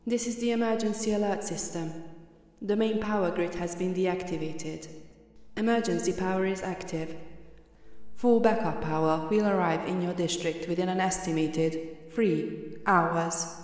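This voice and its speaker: A woman's voice: on the low side, unhurried, a little mellow, natural voice. A woman voicing an impersonal emergency alert system, giving a formal, official automated announcement with heavy reverb. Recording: echoing hall-like reverb, music behind.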